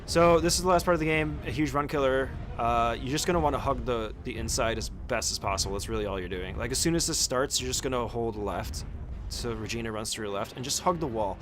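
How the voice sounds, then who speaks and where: A man's voice: mid-range voice, fairly quick, background noise. A man giving an informal, casual walkthrough commentary as a speedrunner teaching a game route, swearing now and then.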